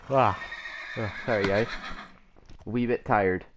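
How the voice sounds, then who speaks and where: A man's voice: mid-range voice, very animated, extremely slow, background noise. Sick young man chatting through a game. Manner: rambling, chatty, self-correcting. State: sick with a cough and flu. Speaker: gameplay commentator. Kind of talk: casual let's play commentary.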